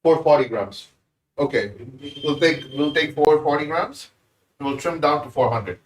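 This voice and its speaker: A man's voice: fairly high pitch, normal speed. A man with an Indian accent, casually chatting with viewers while cooking on a live stream. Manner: easygoing, chats with viewers while working. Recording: roomy sound, mic at a distance.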